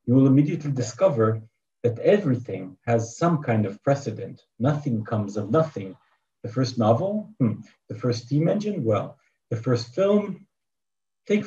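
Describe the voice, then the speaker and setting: A man's voice: mid-range voice, mellow, distant mic, dull audio. A man with a Hebrew accent giving a conference lecture from a prepared paper over a video call, hesitant, with many fillers and dry asides. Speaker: academic lecturer.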